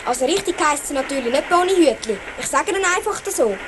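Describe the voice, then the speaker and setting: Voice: high-pitched, animated, brisk, background noise. An actor playing a polite, eager village schoolboy of about twelve in a Swiss German children's radio play. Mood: polite, chatty. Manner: very polite, chatty, earnest.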